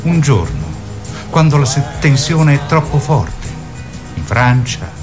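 A man's voice: mid-range voice, big pitch swings, slow, over music. A man reading theatrical scripted narration in a radio play.

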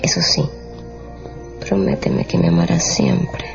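Slow, creaky, seductive kept woman. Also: rumbling low, huge pitch swings, full character voice. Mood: mocking, coaxing. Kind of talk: radio drama acting. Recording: dull radio production with music underneath.

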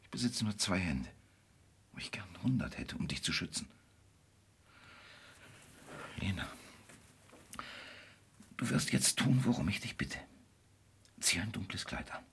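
Radio drama lover: very deep, creaky, half-whispered, protective and urgent. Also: male voice, big pitch swings, a little slow, pauses often, full character voice. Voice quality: hushed, breathy, gravelly. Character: anguished, protective man urgently instructing his beloved. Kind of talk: intimate radio drama acting.

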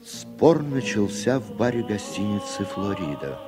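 An actor playing an evasive, sardonic American writer in a 1960s Soviet radio drama, with a husky voice. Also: male voice, lowish voice, big pitch swings, unhurried, over loud music. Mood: reluctant, reminiscing.

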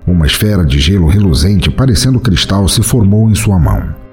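A man's voice: low voice, expressive, brisk, darkish tone, soft background music. A man with a Brazilian accent narrating a scripted fantasy story as the storyteller of an audio drama.

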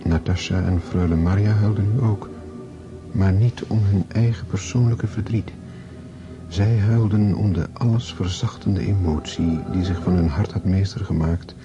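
A man narrating a literary radio drama in a measured, serious, scripted manner. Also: sits low, lo-fi sound.

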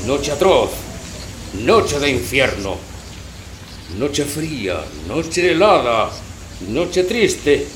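A man recites comic verse as a theatrical narrator, unhurried and dramatic, at a medium pitch. The room echoes and there is clear noise around his voice. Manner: dramatic, declamatory, drawn-out phrasing. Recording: roomy echo, loud background noise.

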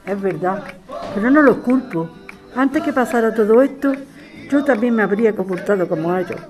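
A woman plays a reflective, forgiving neighbor in an audio drama. Her voice is medium in pitch, over clearly audible music. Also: animated, normal speed, a crowd in the background, natural voice, rough recording.

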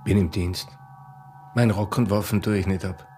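An actor playing a stern, proud, curt border guard in a radio drama. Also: male voice, sits low, steady tone, moderate pace, warm tone, a little hiss or hum, natural voice. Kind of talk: radio drama acting in dialect.

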